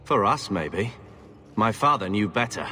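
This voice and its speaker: An actor playing a firm, principled and disapproving young warden in a fantasy game. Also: male voice, lowish voice, expressive, measured pace, sharp tone. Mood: stern, doubtful. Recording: long hall reverb, faint ambient noise.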